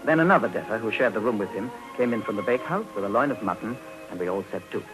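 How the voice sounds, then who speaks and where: An actor playing a grown gentleman who recounts his boyhood in measured, wry literary narration for a 1950s radio drama. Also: on the low side, animated, music in the background, close to an everyday voice, low-quality recording. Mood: reminiscent, measured. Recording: old 1950s radio recording.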